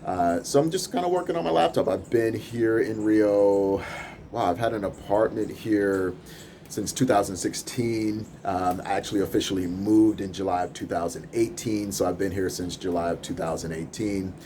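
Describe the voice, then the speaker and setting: A man's voice: lowish voice, moderate pace, slight background noise. A man, a music producer and podcast host, talking off the cuff in a casual, rambling vlog from his apartment with a camera in hand. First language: English. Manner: rambling, relaxed, laughs between thoughts. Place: apartment in a big city, filming out over rooftops.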